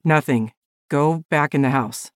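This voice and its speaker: A stammering, flustered frontier father, as a woman reader puts him on in an audiobook. The voice sits low and close, with a dry, plain sound. He speaks slowly and haltingly. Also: dry recording. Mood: firm. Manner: stammering, apologetic.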